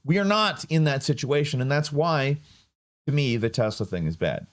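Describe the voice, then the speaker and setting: A man's voice: medium pitch, animated, moderate pace. A man giving casual political commentary, sardonic and rambling. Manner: sardonic, rambling with fillers and restarts.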